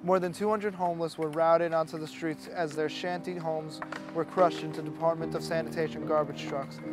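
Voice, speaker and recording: Male narrator, slightly high register, reading memoir prose over a noticeable music bed. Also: fairly even intonation, normal speed. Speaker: reader of a memoir. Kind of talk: scripted narration, audiobook reading. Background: clear music.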